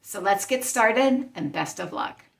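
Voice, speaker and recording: A woman's voice: mid-range voice, lively intonation, a little slow, gravelly. A woman library staff member introducing a trivia video in a friendly, explanatory way.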